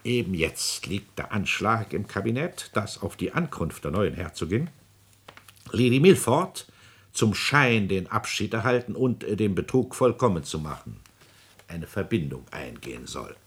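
A domineering, scheming nobleman in a classical radio drama. His voice is low and husky. He declaims with imperious, calculating authority, swinging widely in pitch. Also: sing-song, measured pace, exaggerated voice. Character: scheming, domineering aristocratic father and court minister.

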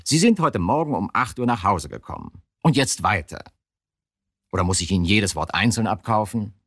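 A male police detective in a radio drama, deep and lively in pitch. He is exasperated and dutiful, pressing his point with pedantic insistence. The sound is close and dry. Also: moderate pace, husky, no echo, exaggerated voice. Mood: impatient, pressing. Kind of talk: German radio drama acting.

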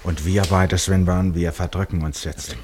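Low-voiced, self-assured eccentric sleuth. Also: male voice, fairly even intonation, moderate pace, darkish tone, slightly rough. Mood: confident, conspiratorial. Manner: commanding, self-assured, insistent. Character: confident eccentric former judge turned amateur sleuth, urgent. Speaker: radio drama actor. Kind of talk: German radio play acting. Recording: radio play with audible background noise.

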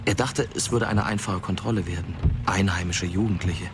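An actor playing a grave narrator in a horror crime radio play, speaking in terse, measured sentences. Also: male voice, low voice, steady tone, noisy background. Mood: sober, tense. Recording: loud music under the voice.

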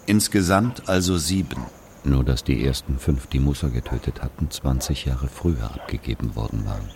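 A man playing an aging Algerian who confesses an old killing in a brooding, deliberate literary monologue. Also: extremely low pitch, darkish tone, slight background noise. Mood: grave, confessing. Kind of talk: literary monologue, dramatic audio narration.

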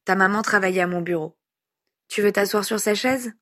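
A woman voicing a tough female law officer who softens around a child, in a read-aloud story. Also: on the high side, brisk. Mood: softened, gentle.

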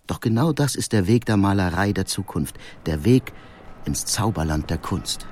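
An actor playing a brooding, sensitive painter who reads from his diary in an earnest, introspective and deliberate way. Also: low-pitched, lively intonation, darkish tone. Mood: earnest, insistent. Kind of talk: dramatic first-person diary reading.

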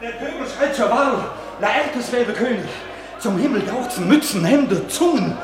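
An agitated herald in a classical radio play. He cries out in an extremely high voice, slow and drawn out, with strong echo around it. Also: lively intonation. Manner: loud, drawn-out proclaiming. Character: excited herald crying out news of revolt. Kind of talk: German classical radio drama acting. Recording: echoey space.

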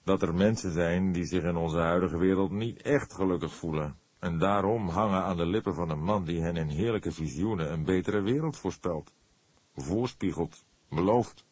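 A man voicing a grey-haired veteran detective in an audiobook, thoughtful and courteous, with deliberate, earnest phrasing. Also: low-pitched, a little mellow, dry recording.

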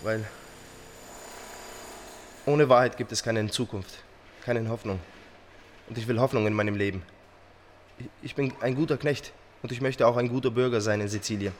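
An actor playing an earnest immigrant farmhand in a radio crime drama, speaking in simple, halting, solemn sentences as a brave witness. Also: male voice, medium pitch, steady tone, a little hiss or hum, close to an everyday voice. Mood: hopeful, earnest.

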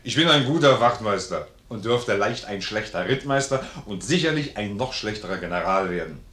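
An actor playing a loyal, plain-spoken, stubbornly generous sergeant in a 1950s German radio play, hearty and earnest. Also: male voice, lowish voice, expressive, moderate pace, fairly bright tone. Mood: earnest, insistent. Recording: roomy vintage radio studio recording.

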